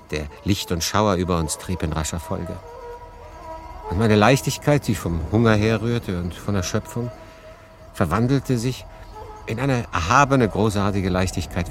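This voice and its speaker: A male actor playing a world-weary refugee in 1940s France, confiding his story in a dramatic monologue with deliberate pauses. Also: low-pitched, close to an everyday voice. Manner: conversational, deliberate pauses, shifting between emphatic and hushed. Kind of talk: dramatic first-person monologue, literary audio drama.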